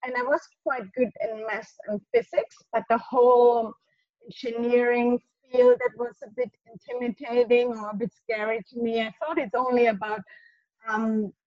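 A woman's voice: fairly high pitch, steady tone, normal speed, dry recording. A young woman with a German accent, answering interview questions in a hesitant way with many restarts. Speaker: guest engineer. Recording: dull lo-fi audio.

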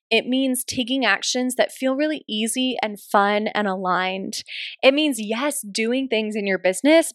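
A woman's voice: on the high side, fairly bright tone, no echo, studio quality. A woman hosting her own podcast, chatting casually, laughing often and checking in with "right?". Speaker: podcast host. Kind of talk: casual solo podcast talk.

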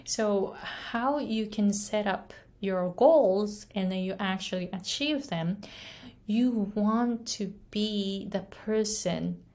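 Japanese-accented woman, unhurried coaching vlog, earnest and chatty with "right?" tags. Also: mid-range voice, darkish tone. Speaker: English pronunciation coach. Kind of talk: casual motivational talk to camera.

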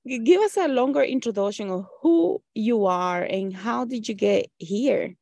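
Gravelly-voiced woman hosting an interview. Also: a little high, animated, normal speed, darkish tone, no echo, clean studio sound. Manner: encouraging, with brief affirmations. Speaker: host.

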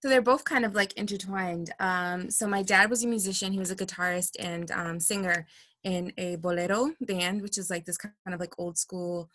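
A woman's voice: lowish voice, steady tone, normal speed, a bit bright, dry recording. A woman speaking casually and informally as a guest in an interview, peppering her talk with filler phrases like "like". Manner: informal, frequent "like" and "you know". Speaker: podcast guest, artist and crystal healer.

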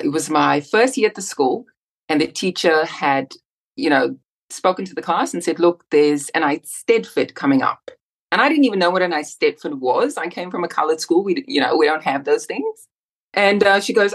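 A woman, a podcast guest telling her life story in a reflective, lively way. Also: deep voice. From South Africa. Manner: reflective, animated when retelling scenes. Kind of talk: casual interview storytelling.

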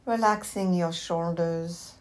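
Slow, low-voiced woman guiding meditation. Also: fairly even intonation. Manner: gentle, unhurried instruction with long pauses. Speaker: meditation teacher. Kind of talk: guided meditation.

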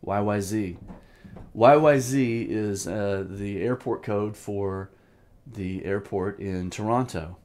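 Man, fifties, fairly low pitch, informal lesson style, personal reminiscing, emphatic repetition. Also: slow, darkish tone, slight background noise. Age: 50s. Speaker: drum teacher, host.

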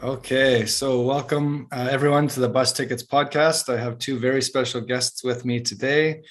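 A man hosts a casual podcast interview at a middle pitch, stopping and restarting as he goes. His delivery is unhurried and friendly in tone. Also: fairly even intonation, soft background music. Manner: halting, with many fillers. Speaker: podcast host.

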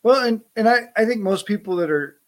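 A man in casual conversation, friendly and quick to laugh, often repeating words as he starts a thought. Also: sits high, expressive.

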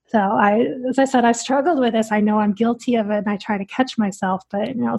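A woman's voice: a little high, darkish tone, breathy edge. A woman speaking as a dietitian guest on a podcast, answering in a direct, earnest way.

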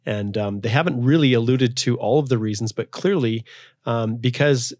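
A man hosting a casual podcast interview, talking at an ordinary pitch for a man. He rambles with restarts in a chatty tone. His voice is close and dry, with no room sound. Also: moderate pace, darkish tone, dry recording. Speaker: podcast host, immigration lawyer.

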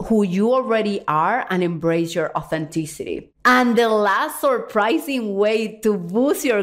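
Earnest woman with a Cuban Spanish accent. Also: medium pitch. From Cuba. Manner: earnest, animated, slows for emphasis and speeds up in asides. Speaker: podcast host and confidence coach. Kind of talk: solo podcast monologue.

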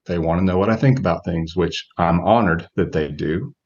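A man in his forties with a very low voice talks through his answers as an interview guest. He is measured and reflective, feeling his way through phrases. The recording sounds dull and lo-fi. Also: darkish tone, breathy edge. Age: 40s. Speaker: guest, relationship coach.

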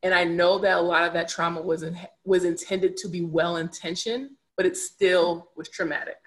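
A woman's voice: fairly low pitch, steady tone, moderate pace. A young adult woman speaking candidly as a podcast guest, earnest and reflective, repeating words for emphasis. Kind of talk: candid conversational storytelling.

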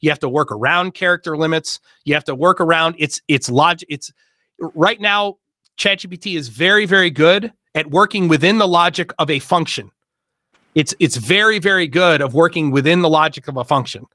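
Emphatic man host, bright dry voice. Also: fairly high pitch, no room sound. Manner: emphatic, rapid explaining with many fillers. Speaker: host and workshop leader. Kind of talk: casual discussion.

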